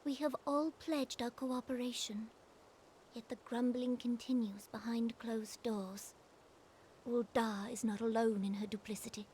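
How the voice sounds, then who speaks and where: An actress playing a proud, troubled young female sovereign in a game cutscene, speaking in a formal, deliberate, regal manner. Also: a little high, fairly even intonation, a little mellow, slight background noise, natural voice, poor audio. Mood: wary, troubled. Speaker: game character, royal ruler. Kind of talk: scripted game cutscene acting, formal archaic drama.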